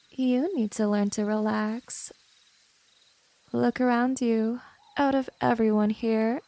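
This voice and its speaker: Slow, seductive vampire woman, acted by a woman. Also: fairly high pitch, warm tone, dry recording. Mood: teasing, haughty. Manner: slow, condescending, seductive, breathy drops into whisper. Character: smooth, teasing, domineering female vampire, gently predatory. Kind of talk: ASMR roleplay, scripted monologue to the listener.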